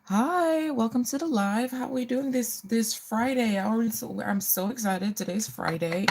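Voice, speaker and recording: A woman's voice: on the high side, normal speed, noisy background. A middle-aged woman hosting a casual get-ready livestream, chatting to viewers and often repeating her phrases.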